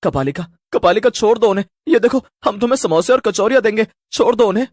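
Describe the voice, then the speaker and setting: A man's voice: sits high, lively intonation, fast talker, no echo, clean studio sound, pitched above the performer's own voice. A man playing a frightened villager in a horror story, imploring in a melodramatic way. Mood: desperate pleading. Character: frightened, pleading village men in a witch tale.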